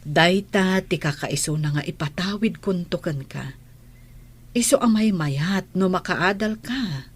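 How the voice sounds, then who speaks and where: A woman playing a firm, practical mother in a radio drama, in a husky voice. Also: on the low side, a little slow. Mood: firm advising. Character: firm, practical mother urging her daughter to study.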